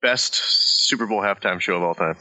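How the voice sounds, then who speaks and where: A man's voice: deep voice, rough recording. A man co-hosting a podcast, bantering casually and laughing often. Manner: laughs often, quick and lively. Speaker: podcast co-host.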